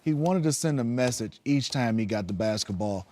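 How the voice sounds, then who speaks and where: A man's voice: medium pitch, lively intonation, normal speed, dark tone. A man, a former player, giving casual, emphatic sports analysis.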